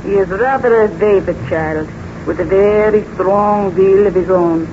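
An actress playing a grim, strict children's nurse, stiff and formal, in a 1930s radio serial. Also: female voice, medium pitch, measured pace, natural voice. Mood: stern, deferential. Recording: noisy muffled 1930s radio recording.

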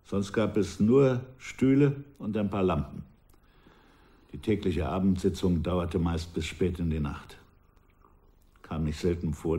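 An older man playing a former revolutionary in a radio drama, recalling his past in a measured, wistful way that often drops to a hush. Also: low-pitched, natural voice. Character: aging male ex-revolutionary, reflective and proud. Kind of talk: radio drama acting, reflective narration.

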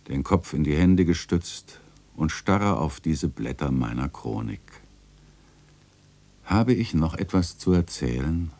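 An actor playing a melancholy old chronicler in a radio drama, narrating in a solemn, lyrical, gravelly manner. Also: male voice, a bass voice, darkish tone, no room sound, heavily acted. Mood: somber, tender, then nostalgic. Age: older. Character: melancholy old male chronicler looking back on his life.

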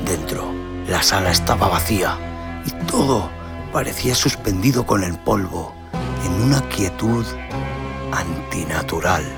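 A man narrates a dark western tale in a very low, gravelly voice. He takes his time, brooding and theatrical, weighing each phrase. Clear music plays behind him. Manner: brooding, deliberate, theatrical, with hushed dips. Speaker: audio story narrator. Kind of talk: dramatic scripted narration of a western horror tale. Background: cinematic sound design and music.

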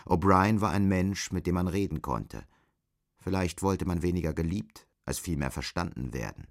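A man's voice: sits low, lively intonation, moderate pace, vocal fry, dry recording, studio quality. A man reading scripted literary narration as the narrator of an audio drama.

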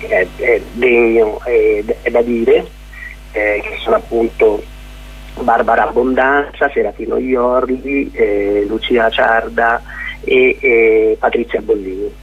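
A man giving an informal radio interview as a guest playwright, halting and searching for words. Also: mid-range voice, fairly even intonation, slightly rough. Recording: thin, narrow telephone line on radio.

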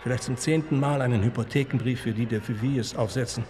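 A man's voice: mid-range voice, fairly even intonation, fast talker, darkish tone, long reverb tail, natural voice. A man playing a dry, scornful narrator in a radio drama monologue. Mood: sardonic. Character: sardonic narrator sneering at provincial gentry.

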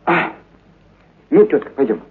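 An actor playing an anxious, affectionate circus clown and animal trainer in a radio drama, fretting and coaxing. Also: male voice, fairly high pitch, very animated, measured pace, slightly rough, heavily acted. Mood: nervous fretting. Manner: fretful muttering, coaxing endearments. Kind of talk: dramatised Russian classic story on radio. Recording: narrow phone-like band.

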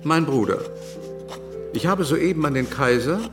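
A man's voice: on the high side, animated, measured pace, music in the background. An actor playing an anxious, weary French king in a radio drama, speaking in a formal, courtly and hesitant way. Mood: formal, guarded.

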